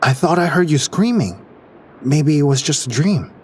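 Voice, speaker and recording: A man's voice: a little high, animated, moderate pace, a little hiss or hum, close to an everyday voice. A man playing a wry, pragmatic space crewman in an audio drama, dry and a little exasperated. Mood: alarmed.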